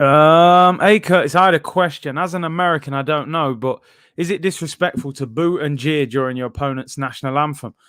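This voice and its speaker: A man's voice: fairly high pitch, fairly quick, fairly bright tone, clean tone, dry recording, clean studio sound. A young man hosting a casual live stream, chatting loosely and reading out viewer comments. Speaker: watchalong host reading chat.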